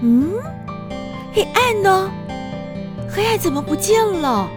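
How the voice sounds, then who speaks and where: A woman narrating a children's story in an expressive, scripted storyteller style. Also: very high voice, slow pace, music in the background.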